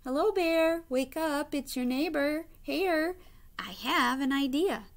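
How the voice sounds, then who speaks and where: A woman's voice: sits high, slow pace, voice pushed up. A woman voicing a cheerful, wily male hare in a storybook, persuasive and given to calling out.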